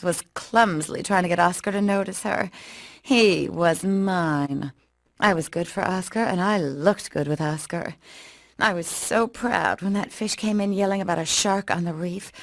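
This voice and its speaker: A sultry, scheming female cartoon fish. Her lowish voice is haughty and self-satisfied, stretching words for effect. The game monologue sounds close and dry. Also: no echo. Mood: possessive, smug. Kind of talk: video game cutscene monologue.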